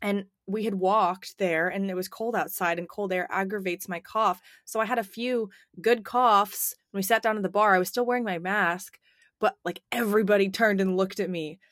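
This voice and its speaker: A young woman in her twenties with a faint rasp from a lingering cough, chatting casually on a podcast. She fills her sentences with "like" and restarts, and her voice is at a medium pitch. The sound is dry and close, with no room echo. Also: fairly even intonation, moderate pace, fairly bright tone. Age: 20s. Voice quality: slightly raspy. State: slightly raspy, recovering from a cough. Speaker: podcast co-host. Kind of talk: casual chat.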